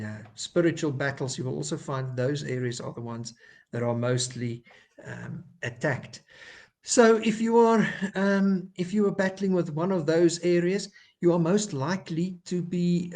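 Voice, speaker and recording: A man with an Afrikaans South African accent, a clinical psychologist giving a faith-based talk in his second language, pausing often with fillers and restarts. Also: mid-range voice, darkish tone. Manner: hesitant, many fillers and restarts.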